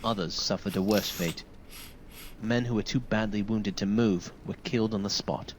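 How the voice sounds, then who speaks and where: A man performs a wounded, grieving soldier from a video game. He speaks in a low, solemn voice with formal, deferential phrasing, over faint background ambience. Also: steady tone, moderate pace, slight background noise, natural voice, rough recording. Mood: grim, haunted. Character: wounded, grieving male soldier recounting a massacre. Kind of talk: dramatic video game dialogue.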